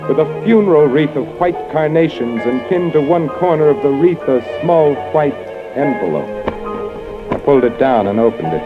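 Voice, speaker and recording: Actor as low-voiced hard-boiled 1940s radio columnist. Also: male voice, lightly acted. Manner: curt, wisecracking, sardonic. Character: hard-boiled, cynical male newspaper columnist narrating his own nightmare. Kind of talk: 1940s radio noir acting and narration. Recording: dull old radio recording, music behind.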